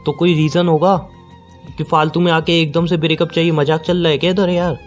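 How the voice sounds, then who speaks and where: A man's voice: a little high, little pitch movement, brisk, soft background music, natural voice. A young man playing a hurt, incredulous boyfriend in an audio call drama, pressing and pleading in an exasperated way. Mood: confused, pleading. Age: young adult. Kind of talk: acted phone-call drama in conversational Hindi with some English.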